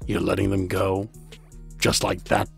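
An actor playing a skeptical, disapproving subordinate in an audio drama. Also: male voice, deep voice, monotone, measured pace, creaky, faint music behind, heavily acted. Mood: disbelieving.

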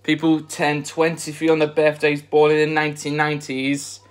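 Slow, earnest young man with a flat voice. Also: fairly high pitch. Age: 20s. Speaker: vlogger. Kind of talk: casual eating vlog chat. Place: bedroom at home, indoors.

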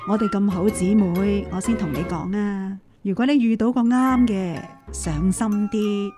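A woman's voice: medium pitch, measured pace, music in the background, natural voice. A woman playing a bossy, chatty best friend who hands out advice, in a Hong Kong Cantonese radio drama style. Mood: pushy, teasing.

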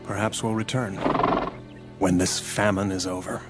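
Man as grave, stubborn farmer father for a Bible cartoon, low-voiced, music underneath. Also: fairly even intonation, moderate pace, lightly acted. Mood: proud, reserved. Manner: grave, terse. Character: proud, stubborn farmer father in hard times. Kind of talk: animated Bible film acting.

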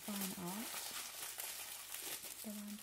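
A woman's voice: mid-range voice, level tone, heavy background noise. A woman whispering as a teasing vampire hostess. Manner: soft unvoiced whisper, slow and teasing; calls the listener darling. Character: theatrical female vampire hostess, playful and sinister. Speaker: ASMR host. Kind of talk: whispered ASMR roleplay. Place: indoors at a kitchen table, making a Halloween treat. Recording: close whisper with loud crinkling wrappers and clicks. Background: crinkling packaging and clicks.

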